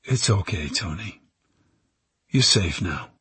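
Audiobook police inspector read by a male narrator: calm, gentle, soft-spoken questioner with a very breathy, dry-recorded voice. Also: deep voice, moderate pace, no echo. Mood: gentle, reassuring. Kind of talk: audiobook character voice.

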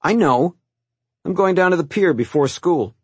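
A man's voice: on the high side, expressive, moderate pace, breathy edge, dry recording, pitched above the performer's own voice. A man voicing a rude, sulky fifteen-year-old boy who snarls his lines. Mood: surly. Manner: snarling, surly.

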